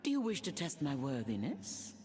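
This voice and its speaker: Imperious warrior matriarch, echoing. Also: female voice, low-pitched, huge pitch swings, normal speed, darkish tone. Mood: proud, reverent. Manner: imperious, menacing, declamatory. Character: proud, ruthless warrior matriarch, undead hunter of the afterlife. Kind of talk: scripted video game character voice lines. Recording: long reverb, music behind.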